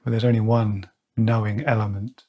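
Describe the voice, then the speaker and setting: A man leading a guided meditation, speaking slowly and softly with long pauses. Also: on the low side, little pitch movement, muffled recording. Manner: slow, soft-spoken, with long pauses. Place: outdoors or by an open window with birds nearby.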